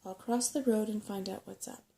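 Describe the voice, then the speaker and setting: A woman voicing an earnest, level-headed boy in a soft-spoken storybook reading. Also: mid-range voice, normal speed, natural voice. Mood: hushed, urgent.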